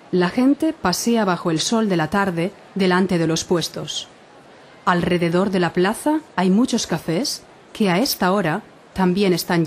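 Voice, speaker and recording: A woman narrating a Spanish learners' audiobook in a clear, measured reading style. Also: mid-range voice, darkish tone, a little hiss or hum.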